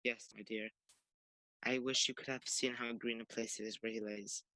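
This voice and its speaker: A young man playing a gentle, grieving father in a radio play, tender and earnest. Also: mid-range voice, flat delivery, normal speed, no room sound, close to an everyday voice, low-quality recording. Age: young adult. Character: gentle grieving father comforting his family. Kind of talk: theatrical radio play acting.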